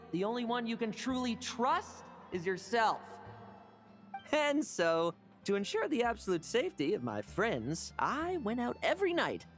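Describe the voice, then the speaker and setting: An actor playing a smug, mischievous young knight captain with a ruthless streak in a video game. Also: male voice, high-pitched, a bit bright, exaggerated voice. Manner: playful, theatrical, sly.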